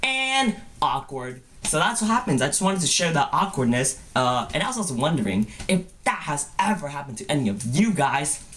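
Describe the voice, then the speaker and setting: A man's voice: on the high side, big pitch swings, normal speed, hardly any pauses, fairly bright tone, slight background noise. A young man telling personal stories in a goofy vlog style, with playful, exaggerated mock-cute phrasing. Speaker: vlogger. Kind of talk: comedic personal storytelling vlog.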